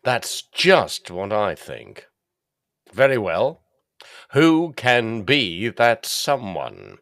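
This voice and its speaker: An actor playing a dogged, plain-spoken police detective in a gothic audio drama, formal and deferential. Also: male voice, lowish voice, wildly swooping pitch, extremely slow, fairly bright tone, no echo, clean studio sound, exaggerated voice. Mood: earnest, suspicious.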